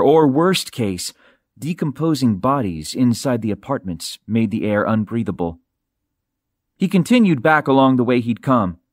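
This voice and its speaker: Guy narrating a scifi audiobook, middle pitch, careful and dramatic, super dry recording. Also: no room sound. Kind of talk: scripted narration of a science fiction novel.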